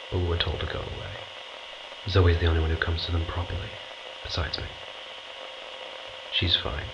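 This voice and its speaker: A man's voice: low-pitched, lo-fi sound, background noise, natural voice. A man playing a weary, strained mission commander in a science fiction audio drama, terse and authoritative. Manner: terse, authoritative, halting when reflective.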